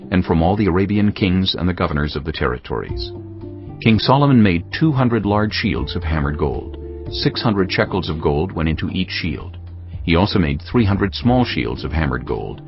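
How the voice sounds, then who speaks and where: Male narrator for dramatised scripture: low voice, solemn measured storytelling, slightly dull recording with music underneath.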